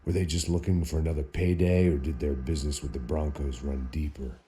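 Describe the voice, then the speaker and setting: An actor playing a weary, cynical middle-aged American ex-cop bodyguard in a noir video game, deadpan and sardonic. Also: male voice, extremely low pitch, brisk, background noise. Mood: wry, brooding. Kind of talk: hard-boiled noir game narration and dialogue.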